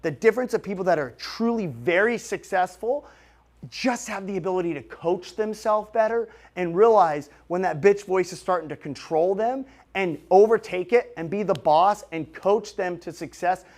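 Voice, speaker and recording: Male, late 30s, higher-pitched voice. Motivational vlogger delivery, emphatic and direct. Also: normal speed, a little mellow. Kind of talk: motivational monologue to an audience.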